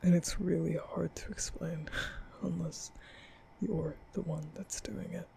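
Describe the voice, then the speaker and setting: A man playing a nervous, apologetic young vampire in an intimate ASMR roleplay, speaking breathily and often half-whispering, halting and stammering. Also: a little high, fairly even intonation, vocal fry, dark tone, slight background noise, full character voice. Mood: weary, frustrated. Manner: halting, stammering, rambling, sighing. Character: nervous, apologetic young male vampire, guilt-ridden and soft.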